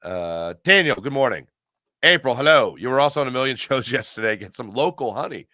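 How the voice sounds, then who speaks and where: A man's voice: medium pitch, expressive, fairly quick, bright, forceful tone, no echo, clean studio sound. An American man hosting a sports talk livestream, chatting casually and emphatically, often repeating phrases to make his point. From Pennsylvania, United States. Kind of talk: casual sports commentary and chat with viewers.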